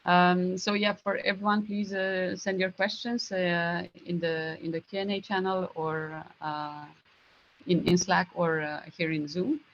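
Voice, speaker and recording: A woman moderating an online question session, halting and pausing often mid-phrase. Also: on the low side, little pitch movement, a little hiss or hum. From Romania. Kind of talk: informal Q&A moderating. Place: online Zoom conference. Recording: dull, lo-fi video call audio.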